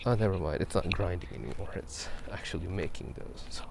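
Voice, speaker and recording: Man, lowish voice, slow halting ASMR murmur, breathy whispers, casual rambling, noisy outdoor ambience. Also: lively intonation. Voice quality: soft, breathy, often whispered. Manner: hushed, halting, unhurried. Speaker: ASMR video host. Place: outdoors at night, walking near a park.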